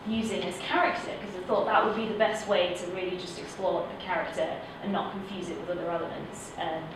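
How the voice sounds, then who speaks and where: A woman's voice: lowish voice, steady tone, brisk. A woman with a Manchester accent, talking informally as an actor on a panel. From Manchester, England. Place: indoor event room at a film festival panel. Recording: echoey room from far off, noisy.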